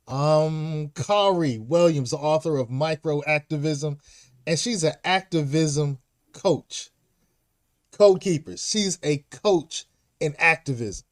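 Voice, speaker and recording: A man with a somewhat high-set voice hosts an interview show. He is enthusiastic and exclamatory, drops in slang, and repeats phrases so they land. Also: takes it slowly. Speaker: talk show host.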